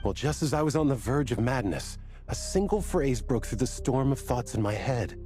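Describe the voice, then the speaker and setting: An actor playing a weary young desert temple scholar in a video game, earnest and bewildered, with deliberate, formal phrasing. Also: male voice, medium pitch, expressive, darkish tone, faint music behind, lightly acted. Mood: earnest, troubled.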